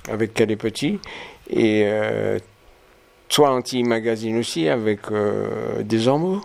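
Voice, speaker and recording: A man's voice: lowish voice, unhurried, creaky. A man in his seventies from Martinique, a photographer answering interview questions with many hesitations and restarts. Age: 70s. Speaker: photographer guest.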